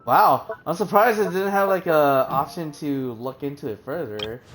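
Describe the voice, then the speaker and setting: Chatty male game streamer with soft music. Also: fairly high pitch, normal speed. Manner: hesitant, many fillers and restarts. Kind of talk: casual game commentary reading menus aloud.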